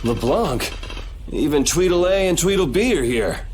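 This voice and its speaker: A man's voice: a little high, expressive, normal speed, a little mellow, a little hiss or hum, lightly acted. A man voicing a blunt, resolute young swordsman in a video game, with music behind him. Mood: firm, resolute.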